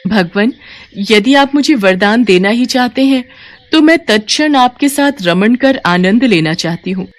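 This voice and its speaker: A woman's voice: on the high side, expressive, measured pace. A woman voicing a young celestial maiden in a devotional cartoon story, earnest, reverent and dramatic. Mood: eager, reverent.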